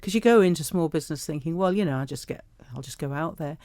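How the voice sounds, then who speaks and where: Mellow middle-aged woman with a big voice. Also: low voice, quick pace, dry recording. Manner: chatty, anecdotal. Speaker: studio guest, self-employed tour guide. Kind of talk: conversational interview. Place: radio studio.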